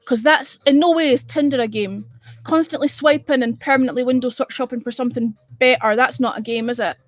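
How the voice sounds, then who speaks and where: A woman's voice: sits high, steady tone, brisk, dry recording. A woman from Edinburgh with a Scottish accent, hosting a casual live stream and chatting bluntly and exasperatedly with viewers, swearing freely. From Scotland. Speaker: livestream host.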